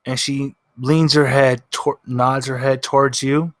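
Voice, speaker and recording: A man's voice: medium pitch, level tone, a little slow, no echo. A man acting as game master, improvising casual narration for a tabletop roleplaying game.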